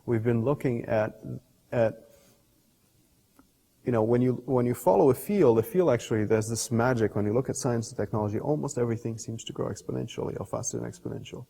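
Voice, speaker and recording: A middle-aged man, a scientist explaining ideas in an interview in a thoughtful, halting way, often rephrasing mid-sentence. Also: mid-range voice, gravelly, mellow, slightly rough. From Portugal. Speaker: scientist and professor being interviewed. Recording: reverberant hall-like room echo.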